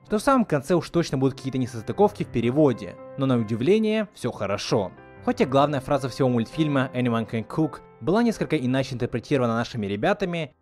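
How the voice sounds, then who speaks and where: Animated man narrating a video essay. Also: mid-range voice. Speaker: video host.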